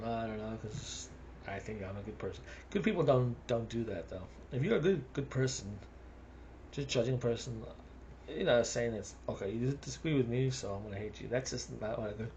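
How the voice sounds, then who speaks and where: A man's voice: medium pitch, muffled recording. A man with a South African accent rambling through a casual monologue, chuckling between his thoughts.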